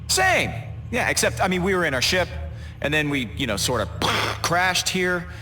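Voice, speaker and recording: A man voicing a flippant, awkward young space rogue in a video game, with a casual, halting delivery. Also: sits high, big pitch swings, sharp tone, a little hiss or hum, full character voice. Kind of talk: video game character dialogue.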